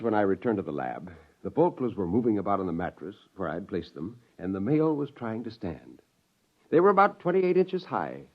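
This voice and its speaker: Casting: middle-aged American scientist, eccentric and theatrical, highly animated delivery, dull vintage radio sound. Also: male voice. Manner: theatrical, chuckling, self-amused. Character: eccentric, gleeful scientist plotting a joke on mankind. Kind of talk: 1950s radio drama acting with first-person narration. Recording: dull, lo-fi 1950s radio recording.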